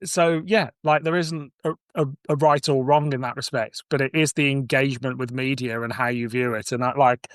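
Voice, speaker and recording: A man's voice: mid-range voice, fairly even intonation, moderate pace, hardly any pauses, clear, resonant tone, dry recording. A man chatting casually on a podcast, musing informally as he talks. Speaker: podcast speaker. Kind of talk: casual chat.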